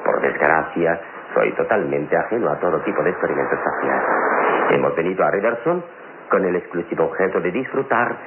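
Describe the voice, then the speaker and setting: An actor playing a calm, wise hero in a vintage radio drama, measured and fatherly, with amusement in his manner. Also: low-pitched. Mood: calm, reflective. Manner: measured, theatrical, chuckling. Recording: tinny, noisy old radio recording.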